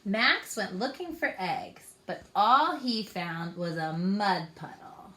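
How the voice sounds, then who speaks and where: A woman's voice: mid-range voice, expressive, slow, pushed and bright. A woman reading a story aloud expressively, as if to young children. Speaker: storytime reader. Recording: roomy echo.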